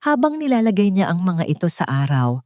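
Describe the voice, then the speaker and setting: A woman's voice: fairly low pitch, big pitch swings, brisk, darkish tone, no room sound, studio quality. A woman narrating a scripted dramatic story.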